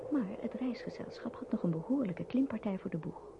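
A woman's voice: mid-range voice, lively intonation, fairly quick. A woman reading scripted narration for a radio play. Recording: muffled noisy old radio recording.

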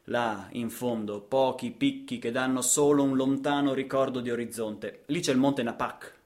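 Man acting impassioned male traveller, radio theatre monologue, medium pitch, theatrical, insistent, dramatic storytelling. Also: steady tone, normal speed, lightly acted. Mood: measured, descriptive. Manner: theatrical, insistent repetitions, swings from hushed to shouting. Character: impassioned male traveller recounting a trip across the savanna. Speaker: actor, storyteller.

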